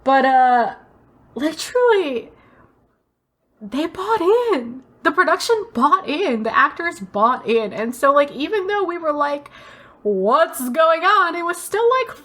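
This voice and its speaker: Female podcast co-host, higher register, very animated pitch, emphatic, sweary, chatty delivery. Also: takes it slowly. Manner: emphatic, gushing, swears freely. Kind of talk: casual pop culture podcast chat.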